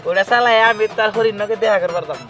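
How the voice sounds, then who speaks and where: An excitable cartoon village father who chatters quickly in a high, pitched-up voice. His rural Bangladeshi speech is teasing and scolding, and music plays clearly behind him. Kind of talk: cartoon comedy dialogue.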